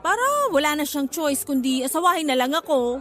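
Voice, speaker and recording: A woman's voice: high voice, very animated, a little fast, a bit bright, a faint crowd. A woman acting a cheeky, manipulative young pregnant girlfriend in a Filipino radio drama, blunt and dramatic, with a Filipino accent. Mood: flippant, pushy.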